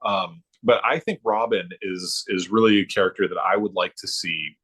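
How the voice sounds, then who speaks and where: A middle-aged man chatting casually about his hobby as a podcast guest, haltingly, often circling back and repeating himself. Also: low voice, steady tone, fairly bright tone, husky. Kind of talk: casual chat about comic books.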